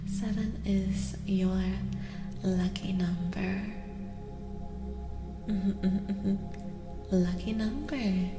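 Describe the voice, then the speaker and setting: A woman giving a soft-spoken ASMR tarot reading as a streamer, often slipping into whispers, soothing and reassuring as she slowly repeats phrases. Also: mid-range voice. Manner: soothing, reassuring, slow repetitions. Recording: reverberant echo with music behind. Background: music.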